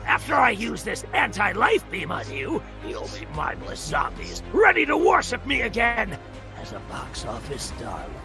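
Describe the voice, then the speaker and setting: A man's voice: high voice, wildly swooping pitch, normal speed, gravelly, music in the background, full character voice. A man playing a vain, vengeful movie-star villain in a theatrical, gloating cartoon read. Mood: smug, gloating. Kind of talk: cartoon table-read acting.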